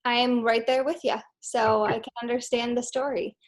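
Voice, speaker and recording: A woman's voice: on the high side, little pitch movement, normal speed. A woman hosting an interview, upbeat and encouraging, with short affirmations. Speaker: interview host.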